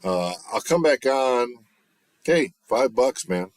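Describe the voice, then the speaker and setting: A man with a bass voice chatting casually on his livestream, relaxed and rambling with lots of fillers and restarts. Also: slow pace, no room sound, clean studio sound. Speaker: livestreamer and recording artist. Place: home, off-grid.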